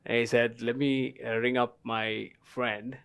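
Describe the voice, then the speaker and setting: A man's voice: mid-range voice, flat delivery, measured pace, gravelly, a bit bright, smooth. A man in his thirties from Sri Lanka, a guest at a tea festival panel, telling his story with lots of fillers and the odd laugh. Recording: live festival stage talk.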